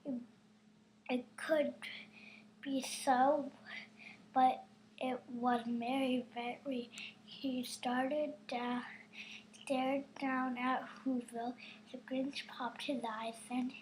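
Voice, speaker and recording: A woman reading a children's storybook aloud with a halting, drawn-out delivery. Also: high-pitched, level tone, darkish tone, a little hiss or hum.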